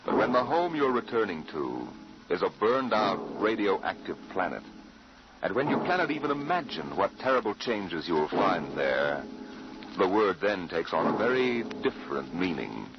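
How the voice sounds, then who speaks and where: A man's voice: low voice, big pitch swings, moderate pace, background noise. A man with an American accent announcing in a dramatic, formal 1950s radio style. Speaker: radio series host and announcer. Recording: dull vintage radio broadcast. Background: loud music.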